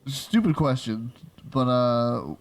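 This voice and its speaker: A man's voice: medium pitch, slow pace. A man co-hosting a podcast who asks questions in an offhand way, full of fillers. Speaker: podcast co-host. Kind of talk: casual podcast chat.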